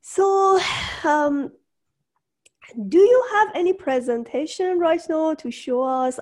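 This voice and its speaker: Woman, fairly high voice, very unhurried, halting non-native phrasing, conversational interview host. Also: darkish tone.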